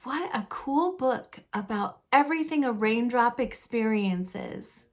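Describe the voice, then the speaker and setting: A woman with a fairly high voice reads a picture book aloud for young children. Her delivery is very unhurried and deliberate, with simple phrasing that suits a storytime narration. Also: animated.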